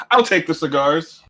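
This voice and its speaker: A man's voice: a little high, bright, forceful tone. A man playing in a tabletop game, talking in a casual, joking way.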